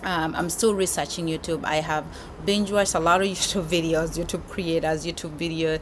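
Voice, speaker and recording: A woman's voice: sits low, steady tone, moderate pace, background noise. A woman from Uganda, a YouTube creator, talking casually to camera with many restarts and fillers. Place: filming while traveling abroad.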